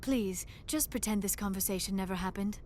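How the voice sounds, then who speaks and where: A woman's voice: medium pitch, a little fast, darkish tone, a little hiss or hum, lightly acted. An actress voicing a young alien woman scientist in a video game, shy and earnest, with formal, precise diction. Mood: flustered. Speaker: archaeologist crew member.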